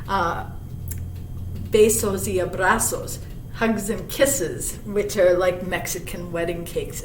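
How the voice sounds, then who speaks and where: A woman reading a children's picture book aloud as a library storyteller, pausing for chatty asides and questions to young listeners. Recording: roomy, distant mic with clear low rumble noise.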